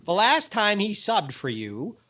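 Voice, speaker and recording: Man playing a fussy, high-pitched radio comedy star. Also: wildly swooping pitch, measured pace, pushed and bright, full character voice. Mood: exasperated. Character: fussy, vain, mildly exasperated male radio comedy star. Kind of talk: 1940s radio comedy acting.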